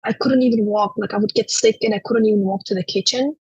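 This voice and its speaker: A young woman from Turkmenistan with a Turkmen accent, telling her personal story as an interview guest in a halting way, with many "you know" fillers. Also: mid-range voice, steady tone, darkish tone, husky, dry recording, muffled recording.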